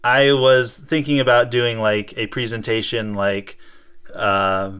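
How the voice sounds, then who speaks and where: An American man chatting casually as a co-host. His voice is smooth and clear at an average pitch. He explains things in a rambling way, often correcting himself mid-sentence. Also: little pitch movement, takes it slowly, fairly bright tone. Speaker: co-host of a gaming show and school teacher. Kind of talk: casual unscripted chat, answering viewer questions.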